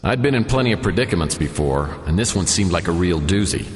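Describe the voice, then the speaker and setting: An actor playing a wisecracking hard-boiled detective who narrates in a dry, sardonic noir style. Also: male voice, sits low, animated, fast talker. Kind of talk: noir radio drama narration. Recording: reverberant with music behind.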